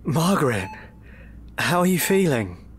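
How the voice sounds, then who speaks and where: A man playing a worried, devoted middle-aged husband in a radio drama, speaking earnestly and quietly subdued. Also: fairly high pitch, big pitch swings, measured pace, a little hiss or hum. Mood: pleading.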